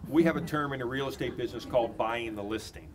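An older man in his sixties giving a confident real estate listing pitch in an office conference room. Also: quick pace, background noise. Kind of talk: mock listing presentation.

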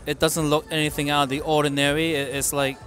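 Middle-aged guy vlogging from a restaurant booth, deadpan and wry, close mic, faint music in the back. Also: fairly high pitch, fast talker. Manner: wry, deadpan remarks about prices. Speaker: food vlogger. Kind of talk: casual restaurant review vlog. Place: dim restaurant booth, indoors.

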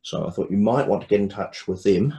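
A man's voice: lowish voice, a little fast, warm tone. A man speaking as a podcast guest, explaining something in a casual conversational way. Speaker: podcast guest recommending a game.

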